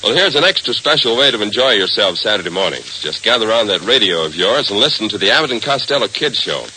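A man's voice: lowish voice, big pitch swings, fast, bright, forceful tone, a little hiss or hum, poor audio. An American man announcing in an emphatic, theatrical 1940s radio style, on a vintage broadcast recording.

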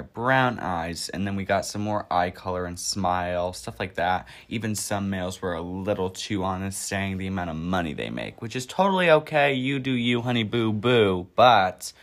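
A man's voice: low voice, fairly bright tone. A man hosting a student morning show, chatting casually with a sassy, upbeat manner. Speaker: show host.

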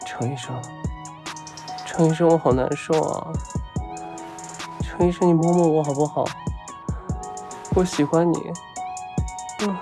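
Audio drama role, male actor: drugged young man, clingy and pleading, slurred breathless delivery, gravelly fry. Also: fairly high pitch, a little slow, long pauses, music in the background. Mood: woozy, clingy. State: weak and feverish from drugs. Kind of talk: Chinese audio drama acting.

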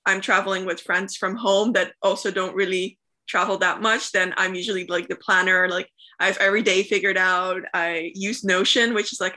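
Bright-voiced young Flemish woman, chatty. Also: medium pitch. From Belgium, Flemish part. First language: Dutch. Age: 20s. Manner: chatty, frequent "like" fillers. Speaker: guest, travel content creator. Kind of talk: casual interview answers.

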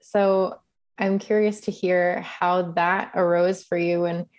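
A woman interviewing a guest, asking long, gentle probing questions. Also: lowish voice, flat delivery, slow pace.

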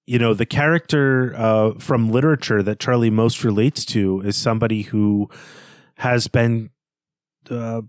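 Man, podcast co-host, literary discussion, mid-range pitch, halting and rephrasing, earnest, analytical, dry recording. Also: no echo. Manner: halting, repeats and rephrases words.

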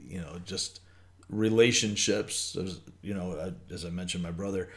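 A man's voice: deep voice, steady tone, moderate pace. A middle-aged man co-hosting a casual faith podcast, talking in a rambling way with many restarts and thinking aloud. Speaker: podcast co-host.

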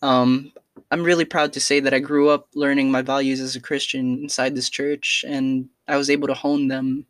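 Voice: mid-range voice, flat delivery, normal speed, no echo, clean studio sound. A young adult student talking through a casual personal podcast in an earnest, hesitant way, with many fillers and restarts.

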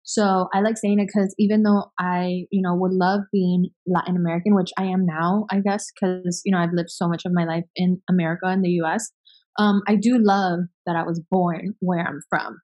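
A woman in her thirties, born in Venezuela, telling her story as a podcast guest in chatty, quick run-on sentences with many fillers. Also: on the low side, level tone, a little mellow. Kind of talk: casual conversational interview.

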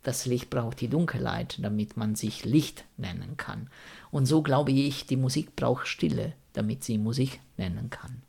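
Italian man, gravelly thoughtful voice. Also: medium pitch, measured pace, a little mellow. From Italy (Rome). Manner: thoughtful, searching for words, non-native grammar. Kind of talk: interview answers.

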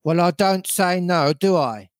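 A man voicing a grumbling, defiant pirate coxswain from a storybook, growling in rough sailor dialect. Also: high voice, unhurried, no room sound, clean studio sound. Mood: sullen.

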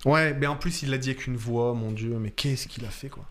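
Un homme à l'accent français de France qui coanime une discussion en direct, hésitant, répétant ses mots en cherchant ses idées. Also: male voice, medium pitch, fairly quick, a bit bright. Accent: French from France. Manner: hesitant, repeats words while searching. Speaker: co-host. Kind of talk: casual chat on a live stream.

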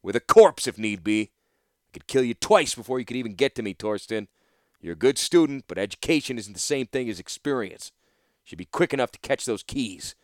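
A man voicing a stern veteran weapons master who gives calm, commanding orders. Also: medium pitch, brisk, no echo, clean studio sound, lightly acted. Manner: calm, commanding, dryly threatening.

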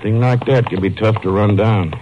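Male actor as probing sheriff, 1950s radio crime drama. Fairly low, sober delivery; clearly noisy, dull recording. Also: fairly even intonation, moderate pace, lightly acted. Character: sober, skeptical county sheriff, investigator's sidekick.

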